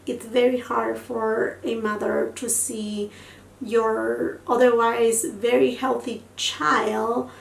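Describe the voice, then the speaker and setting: Woman, slightly high voice, effusive beauty chat, echoey room, mic set back. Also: little pitch movement, takes it slowly, creaky. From Peru. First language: Spanish. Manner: chatty and effusive, many fillers like "you know". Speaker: beauty vlogger. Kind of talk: casual makeup tutorial chat. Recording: roomy sound, mic at a distance, faint noise.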